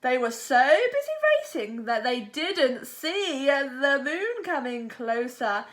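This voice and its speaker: A woman reading a picture book aloud to children in an expressive, dramatic storytelling style. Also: high voice, takes it slowly. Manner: expressive, dramatic delivery with acted sound words.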